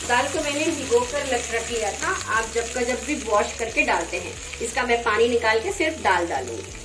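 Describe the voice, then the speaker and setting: Home cook, woman, fairly high voice, easy instructive delivery; roomy kitchen with frying sizzle. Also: expressive, normal speed, noisy background. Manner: gentle, instructive, conversational. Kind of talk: cooking demonstration voice-over. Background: sizzling frying pan.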